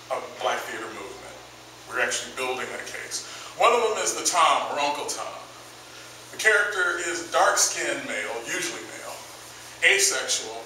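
A man giving a public lecture on theater history, emphatic and deliberate, stressing key words. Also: on the high side, bright, forceful tone, slight background noise. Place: large dim lecture room with projector screen. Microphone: far camera. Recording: echoey room from far off.

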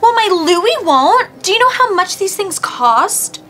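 A woman's voice: very high-pitched, very animated, a little slow, sharp tone, faint music behind, exaggerated voice. An actress playing a fussy, earnest young woman passenger worried about her expensive bag. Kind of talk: scripted comedy sketch acting.

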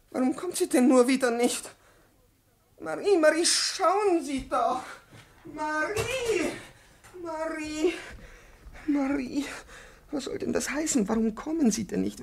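An actor playing a domineering, irascible, pedantic professor in a 1950s radio play, theatrical and menacing, with a creaky, raspy voice. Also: male voice, extremely high pitch, exaggerated voice. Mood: furious.

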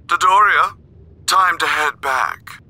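A commanding alien soldier from an anime game. The voice is somewhat high and extremely sharp, delivering clipped orders with authority. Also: male voice, slow pace, no echo, heavily acted. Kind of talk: English anime game dub acting.

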